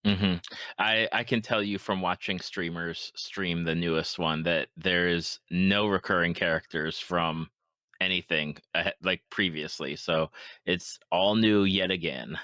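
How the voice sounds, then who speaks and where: A man with a low voice and a bright, forward edge hosts a casual interview. He sounds agreeable and affirming, recorded dry and close. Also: steady tone, a little slow, dry recording. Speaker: podcast host.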